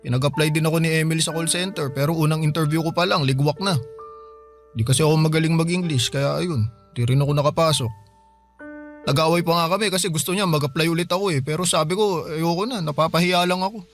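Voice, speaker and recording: An actor playing a hard-up, stubborn young brother in a Filipino radio drama, pleading and defensive in casual everyday speech. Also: male voice, fairly high pitch, fast, close to an everyday voice. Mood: dejected. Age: young adult. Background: music bed.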